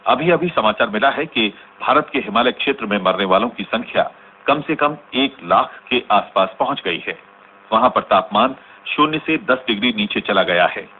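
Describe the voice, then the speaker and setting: An actor playing a sober radio news announcer, reading formally and in a measured way within a radio drama. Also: male voice, medium pitch, expressive, lightly acted. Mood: grave, formal. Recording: tinny band-limited radio broadcast, faint noise.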